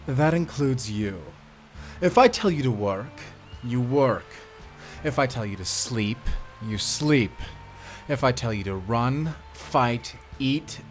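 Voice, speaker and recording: Slow-talking, gloating sadistic prison warden. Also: male voice, mid-range voice. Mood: menacing. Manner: mocking, menacing, drawn-out delivery with cackles. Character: gloating sadistic male prison warden who relishes power. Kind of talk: scripted audio drama acting.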